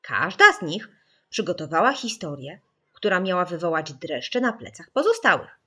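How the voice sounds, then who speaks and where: A woman reading a children's audiobook as an expressive storyteller, putting dramatic emphasis on key words. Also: fairly low pitch, normal speed, husky.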